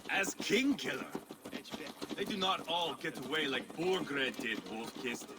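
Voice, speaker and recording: An actor playing an unstable, sadistic Viking warrior in a video game, theatrical and crude, with a sneering, taunting manner. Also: male voice, a little high, animated, a little slow, background noise. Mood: gloating. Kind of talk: dramatic game cutscene acting.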